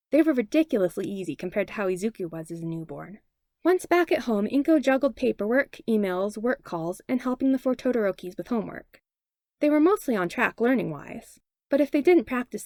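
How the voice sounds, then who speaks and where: A woman reading a fan-written story aloud in calm, even storytelling narration. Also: a little high, no echo, studio quality. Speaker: podfic reader. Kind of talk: scripted narration.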